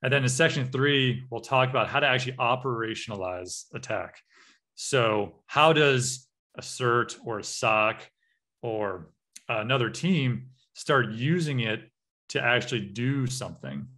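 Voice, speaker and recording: An American man in his thirties talks through a workshop at a middle pitch, relaxed and unhurried, filling pauses with uh and um. He sounds like a trainer speaking into a desk or headset mic on a video call. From United States. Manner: relaxed, frequent uh and um fillers. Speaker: cybersecurity trainer. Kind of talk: online workshop lecture over slides.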